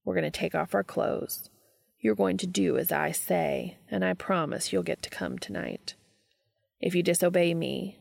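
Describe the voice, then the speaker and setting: A woman voicing a commanding, tender adult man in a romantic audio story, speaking low, slow and often in a whisper. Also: creaky, darkish tone, husky, heavily acted.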